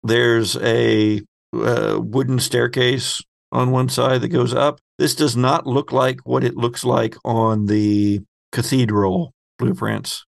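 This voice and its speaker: A man hosting a tabletop horror game as game master, narrating scenes descriptively with halting pauses. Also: mid-range voice, level tone, gravelly.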